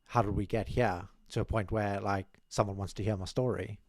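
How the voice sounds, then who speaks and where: A man's voice: lowish voice, fairly even intonation, a little fast, no echo, clean studio sound. A young man from South Africa, a podcast guest talking casually and modestly about his life, often restarting his sentences. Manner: modest, rambling with many restarts. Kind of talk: casual interview chat. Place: small studio room with curtains. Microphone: desk mic on boom arm, headphones.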